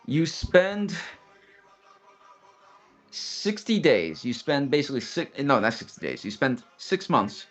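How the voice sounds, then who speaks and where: A man's voice: medium pitch, very animated, takes it slowly, long pauses, fairly bright tone, faint music behind. Blunt casual man. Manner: blunt, casual, restarts and counts out steps. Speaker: guest. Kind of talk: casual explaining chat.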